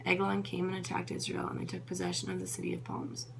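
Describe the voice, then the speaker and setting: Gravelly-voiced woman reading scripture. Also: on the low side, fast talker. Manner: stumbles over names and corrects herself. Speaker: Bible reader. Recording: noisy with a steady hum.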